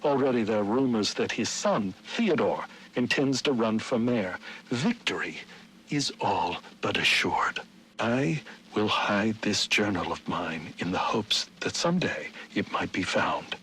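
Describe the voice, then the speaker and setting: Theatrical Victorian gentleman diarist, faint noise. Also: fairly low pitch, animated, unhurried, poor audio. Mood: resigned, foreboding. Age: middle-aged. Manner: formal, archaic, dramatic diction. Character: Victorian gentleman city founder, solemn, theatrical, scheming diarist. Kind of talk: dramatic journal narration in a video game.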